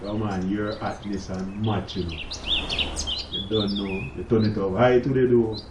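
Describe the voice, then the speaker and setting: A man's voice: on the low side, little pitch movement, normal speed, dull audio, background noise, close to an everyday voice. An actor playing a cheeky, streetwise, hot-tempered Jamaican man in a radio drama, bantering colloquially in Patois. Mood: flirty, teasing. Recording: echoey room with music behind.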